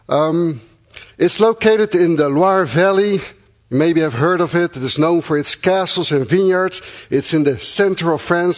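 A middle-aged Dutch-accented man speaks to a supporting congregation in an earnest, grateful way, pausing now and then with fillers. His voice sits a little higher than most men's. He talks as a missionary. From Netherlands. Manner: earnest and thankful, with pauses and fillers. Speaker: missionary church planter.

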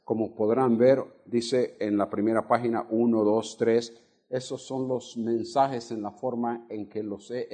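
Older Honduran man preaching slowly. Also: lowish voice, mellow. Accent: Honduran Spanish, faded. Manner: measured, stretches key words, asks the congregation questions. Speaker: guest preacher. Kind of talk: Bible teaching sermon. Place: church sanctuary.

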